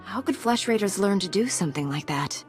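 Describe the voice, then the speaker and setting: A woman's voice: mid-range voice, animated, brisk, faint music behind, lightly acted. An actress playing an earnest, principled young woman knight in a video game, composed in manner.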